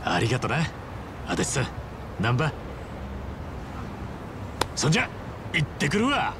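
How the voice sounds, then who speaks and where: An actor playing an earnest, loyal middle-aged ex-yakuza in a video game, speaking casually and sincerely. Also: male voice, mid-range voice, huge pitch swings, measured pace, background noise. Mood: casual, warm. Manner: casual, rough but sincere speech. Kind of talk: dramatic video game voice acting.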